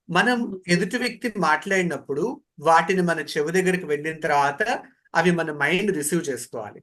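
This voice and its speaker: Bright-voiced man leading a training session. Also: sits high. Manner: didactic, poses questions and repeats key phrases. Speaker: voice modulation trainer. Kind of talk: interactive training lecture.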